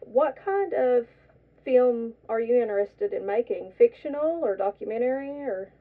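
A woman's voice: fairly high pitch, expressive, normal speed, recorded from a distance. A woman hosts a radio talk show, asking her young guests curious, friendly questions. She sits a little away from the mic, and the sound is narrow and phone-like. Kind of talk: radio interview. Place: radio studio.

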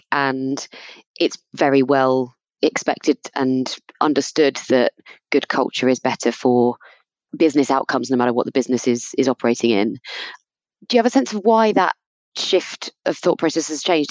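A man's voice: fairly high pitch, dry recording. A man hosting a podcast, asking long, rambling questions in a friendly way. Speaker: podcast host. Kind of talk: conversational podcast interview.

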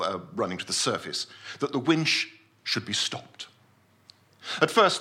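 A man playing a pompous, self-assured gentleman scientist telling his adventure in a theatrical story reading. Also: mid-range voice. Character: pompous, obsessive Victorian-style gentleman scientist recounting his deep-sea adventure.

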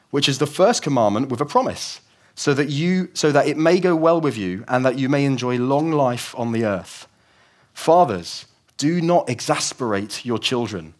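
A young man preaching a conversational, explanatory sermon in a church service, his voice croaky from a cold. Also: medium pitch. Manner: conversational, explanatory, with frequent restarts.

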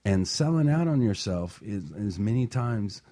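A man's voice: lowish voice, lively intonation, a little slow, mellow. A man hosting a motivational talk show and coaching, talking earnestly and building to passionate emphasis.

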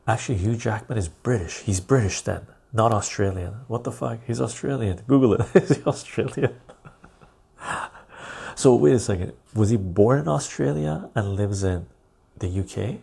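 A casual livestream host whose first language is Armenian, chatting with viewers and reading their comments aloud. His voice is dark and mellow, with a husky, gravelly grain. He rambles into asides and chuckles often. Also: on the low side, moderate pace. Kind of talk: casual live-stream chat.

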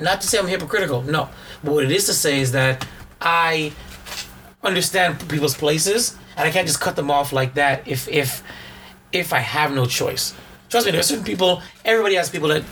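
Hoarse male podcast co-host, slightly high voice, rambling and restart-heavy delivery. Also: a bit bright, a little hiss or hum. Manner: rambling, repeats words and restarts often. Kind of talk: casual chat.

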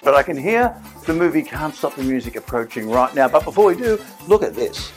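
A man's voice: on the high side, big pitch swings, fairly quick, over loud music. A man hosting a film-night special, chatty and enthusiastic, with playful asides. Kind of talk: casual upbeat presenting.

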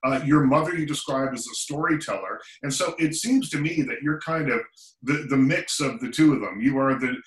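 A man from Nova Scotia hosts the interview, putting curious questions with halting fillers. His voice sits at a middle pitch and rings in a roomy, echoing space. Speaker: interviewer host. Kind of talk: casual interview. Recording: roomy echo.

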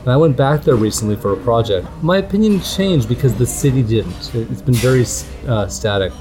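A middle-aged man from Canada, a guest ethnographer speaking in a measured, reflective way with frequent restarts. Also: medium pitch, lively intonation, music in the background. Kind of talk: conversational interview.

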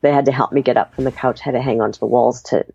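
A woman's voice: a bass voice, steady tone, rapid-fire, gravelly, dark tone, breathy edge, dry recording, highs cut off. A woman from Canada with a mixed Canadian and Australian accent, chatting as an interview guest and telling her story with lots of fillers. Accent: Canadian with Australian tinge. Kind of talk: casual conversational interview.

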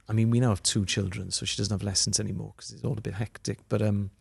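Gravelly Welsh man chatting. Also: on the low side, no echo. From Wales. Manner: chatty, many restarts and asides. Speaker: comedian guest. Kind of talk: conversational interview answers.

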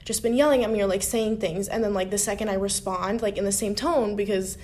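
A young woman co-hosting a casual roundtable discussion, outspoken and animated, with lots of filler words and a strong voice. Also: medium pitch, fairly quick, a little hiss or hum. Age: young adult. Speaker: staff writer and podcast co-host.

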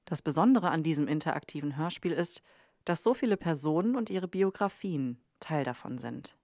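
Low-voiced woman narrating, dry sound. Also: animated, normal speed, a little mellow, dry recording. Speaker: podcast host and narrator. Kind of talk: scripted documentary narration. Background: faint music.

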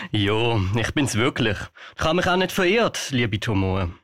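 A man's voice: medium pitch, big pitch swings, slow, fairly bright tone, dry recording, studio quality. Man playing a jovial old Santa Claus. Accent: Swiss German. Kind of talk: radio play storytelling.

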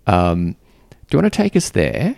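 A man hosting a podcast interview, speaking gently and haltingly with many fillers and restarts. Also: deep voice, huge pitch swings, vocal fry, dry recording, clean studio sound. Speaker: podcast host. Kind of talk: casual interview. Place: Canberra.

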